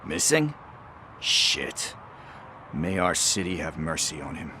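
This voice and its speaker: An actor playing a streetwise police informant in a video game, glib and slippery but likeable, with casual, hesitant phrasing. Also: male voice, very deep voice, very animated, slow, noisy background, heavily acted. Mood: concerned, sincere. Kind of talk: video game cutscene acting.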